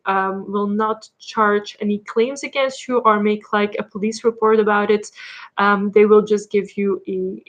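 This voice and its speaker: A woman's voice: medium pitch, highs cut off. A young woman from Belgium with a Flemish Dutch accent, chatting casually while answering viewer questions on her livestream, with many ums and likes. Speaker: livestream host. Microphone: laptop mic.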